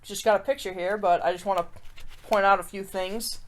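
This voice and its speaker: A woman's voice: mid-range voice, steady tone, a little fast. A woman hosting a children's story time, reading and chatting expressively and speaking straight to her young listeners. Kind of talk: read-aloud picture book storytelling and chatty review for kids.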